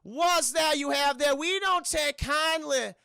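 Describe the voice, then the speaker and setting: Unwelcoming small-town Southerner, acted out by a man, folksy menace, drawl, sharp and gravelly, for a comic retelling. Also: very high voice, slow pace, no echo, clean studio sound, pitched above the performer's own voice, exaggerated voice. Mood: hostile. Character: suspicious, unwelcoming Southern townsfolk.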